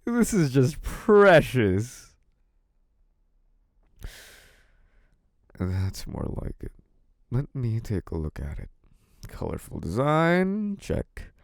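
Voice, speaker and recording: Guy acting a smug young mob boss, gravelly fry, swoopy taunting delivery, possessive n menacing audio roleplay. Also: medium pitch, darkish tone, no echo, clean studio sound, heavily acted. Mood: mocking. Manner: slow, taunting, mock-sweet, theatrical with amused chuckles. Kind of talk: scripted audio roleplay, intimate monologue to one listener.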